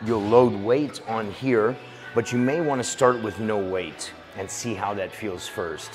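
A man in his forties giving calm, steady step-by-step workout instructions as a voice-over. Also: on the low side, measured pace. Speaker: fitness instructor. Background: music.

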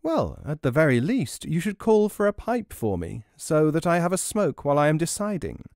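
A man's voice: fairly high pitch, sing-song, moderate pace, darkish tone, no room sound, clean studio sound, voice pushed up. A man voicing a cunning, bold old woman in a folk tale, sly and wheedling. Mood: haughty, wheedling. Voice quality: wheezy, mumbling at times. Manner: sly, sometimes falsely pious and humble.

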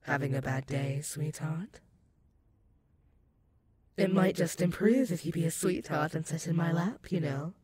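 A woman's voice: low-pitched, background noise. A woman playing an ancient, sadistic and possessive female werewolf demon in a roleplay audio, purring menace and mocking pet names at the listener. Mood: threatening. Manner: slow, teasing, purring menace with mocking endearments.

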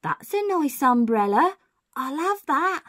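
A woman's voice: sits high, very animated, slow, a bit bright, voice pushed up, full character voice. A woman voicing a chatty lady burglar in a children's storybook, with emphatic, ungrammatical working-class speech. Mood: pleased.

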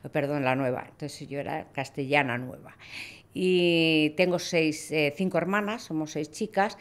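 A woman in her sixties from Toledo, a guest telling stories from her life in a podcast studio, with lively anecdotes and frequent self-corrections. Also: deep voice, normal speed. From Toledo, Spain. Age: 60s. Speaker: guest, hunting club president. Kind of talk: relaxed conversational storytelling. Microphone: studio mic on a stand, with headphones.

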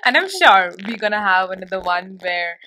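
Woman podcast host; sharp bright tone, talkative, long rambling questions. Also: mid-range voice, big pitch swings, moderate pace, poor audio. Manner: chatty, laughs easily, long run-on questions. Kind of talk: casual interview chat.

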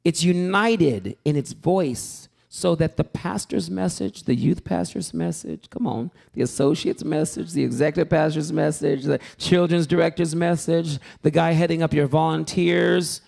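A man teaching a sermon-preparation workshop to a live group in a church hall, animated and joking, calling out to the room. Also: a little high, normal speed, vocal fry, hardly any pauses. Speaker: preaching instructor.

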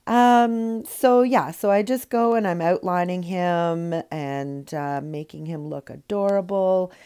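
A woman's voice: fairly low pitch, slow, warm tone, clean tone. A middle-aged woman narrating her own painting tutorial as a voiceover, chatty and full of ums and uhs, still getting over a cold. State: recovering from a cold. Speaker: rock painting tutorial host. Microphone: voiceover mic.

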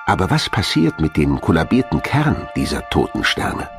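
A man with a low voice narrates a science documentary from a script. His pitch moves up and down in a lively way. Loud music plays behind him throughout. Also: normal speed, creaky. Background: loud background music.

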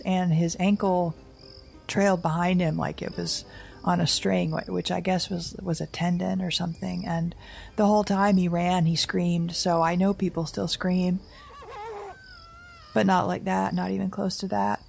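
Worn out woman survivor in an audio drama, kinda low voice w lots of fry, anxious, wry, keeps stumbling and rambling. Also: little pitch movement, soft background music. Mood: haunted, grim. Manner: halting, rambling, self-correcting, wry. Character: anxious, wry, weary woman survivor keeping a tape diary. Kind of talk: naturalistic audio drama monologue.

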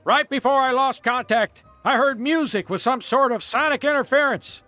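A toy army sergeant from a video game. The voice is pushed high and forceful, very dry and close, with clipped, barking, dutiful delivery over faint music. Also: male voice, normal speed, no room sound. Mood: grave reporting. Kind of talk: military report in video game acting.

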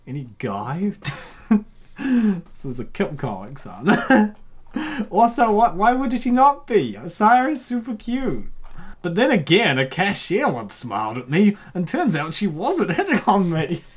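A woman hosting a comic dub with casual, rambling, excitable reaction commentary. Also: mid-range voice, unhurried, husky.

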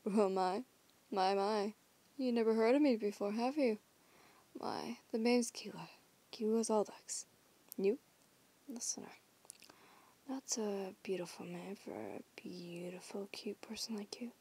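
A woman's voice: mid-range voice, measured pace, long pauses, mellow. A woman voicing a teasing young female werewolf in an audio roleplay, whispering huskily and swearing casually. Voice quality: whispery, husky.

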